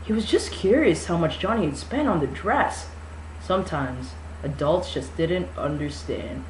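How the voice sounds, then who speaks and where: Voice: mid-range voice, animated, a little slow. A narrator giving a mock-dramatic amateur reading of a novel, with casual introductory talk. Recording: echoey room with faint noise.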